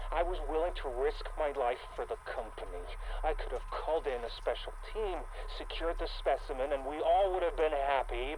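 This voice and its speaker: Bitter, high-voiced betrayed executive played by a man. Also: darkish tone, close to an everyday voice, low-quality recording. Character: bitter betrayed corporate executive, male, raging at his board. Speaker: company executive. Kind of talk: recorded audio log, dramatic game acting. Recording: faint ambient noise behind the voice.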